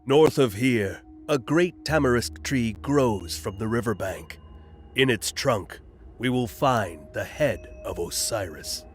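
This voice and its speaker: A calm wise centaur. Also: male voice, mid-range voice, takes it slowly, slightly rough, soft background music.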